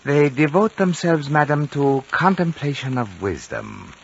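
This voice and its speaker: An actor playing a courteous, enigmatic elderly Chinese lamasery guide with formal, deliberate speech in a 1950s radio drama. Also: male voice, fairly high pitch, big pitch swings, vocal fry, heavily acted. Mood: serene, gently probing. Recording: dull, noisy 1950s radio recording.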